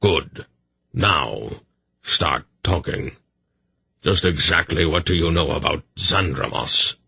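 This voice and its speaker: A man voicing a testy, stern old sorcerer in an audiobook, speaking with quiet menace. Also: rumbling low, lively intonation, slow, gravelly, husky, no echo, full character voice. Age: older.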